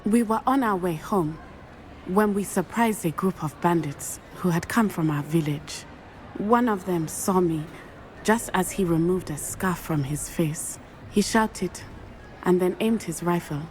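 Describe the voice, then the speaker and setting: Earnest young witch student, woman voicing her, quiet grief, game cutscene. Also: fairly low pitch, a little slow, a little hiss or hum, natural voice. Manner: earnest, composed storytelling. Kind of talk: video game cutscene acting.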